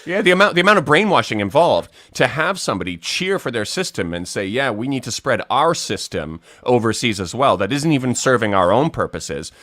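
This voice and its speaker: A man discussing politics casually as a livestream co-host, earnest and halting as he argues. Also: medium pitch, big pitch swings, fairly bright tone, no room sound, studio quality.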